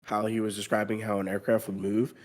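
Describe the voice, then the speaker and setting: A man on a panel chatting casually and asking questions in halting, piecemeal phrases. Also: on the low side, flat delivery, a little hiss or hum. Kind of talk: casual chat.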